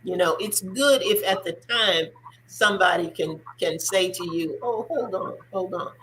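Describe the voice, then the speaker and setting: A woman's voice: lowish voice, measured pace, a bit bright, a little hiss or hum, poor audio. A woman hosting a faith-based discussion show, speaking earnestly and repeating her points for emphasis. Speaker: talk show host.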